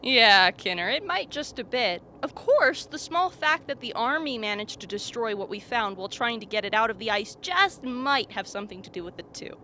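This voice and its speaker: An actress as a knowing polar scientist who explains things plainly. Her voice is bright and a little high, acted for radio, with slight noise behind. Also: animated, normal speed, few pauses. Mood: wry, matter-of-fact. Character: knowing, matter-of-fact female Antarctic expedition scientist. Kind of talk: radio drama acting. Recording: faint background noise.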